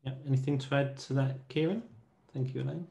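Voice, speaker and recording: A middle-aged man, an accountant, hosting a professional discussion over a video call, measured and asking colleagues for their views. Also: mid-range voice, steady tone, creaky, warm tone. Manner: measured, asks colleagues questions. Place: home room with teal wall. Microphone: earphone headset on video call.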